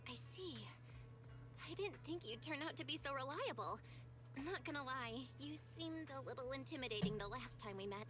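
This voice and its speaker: The bright-eyed heroine asking around town in a story game, high voice, casual and composed, with music playing. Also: female voice, lively intonation, moderate pace. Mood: friendly, thoughtful. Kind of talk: video game voice acting.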